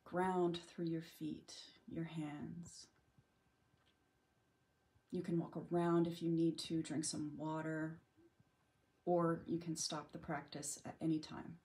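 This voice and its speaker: A woman leading a guided meditation in a gentle, unhurried manner with long pauses. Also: deep voice, little pitch movement. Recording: music bed under the voice. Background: background music.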